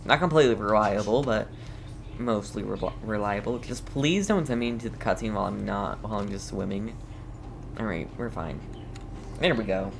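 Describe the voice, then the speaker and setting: A man giving casual, playful gameplay commentary as he streams, often halting and muttering to himself. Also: lowish voice, noisy background. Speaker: streamer playing a video game. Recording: game ambience clearly behind the voice.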